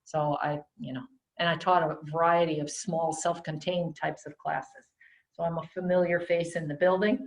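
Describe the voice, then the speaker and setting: A middle-aged woman teacher talking loudly and chattily through a face mask, walking parents around her small classroom on a handheld camera. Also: sits low, low-quality recording. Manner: loud, chatty, rambling.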